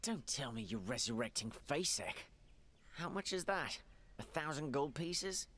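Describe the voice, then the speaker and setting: A husky, grim fantasy adventurer. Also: male voice, a little high, expressive, unhurried, fairly bright tone. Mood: skeptical. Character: grim, urgent male fantasy adventurer, party leader. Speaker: game character, leader of adventurers. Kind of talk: fantasy video game cutscene acting.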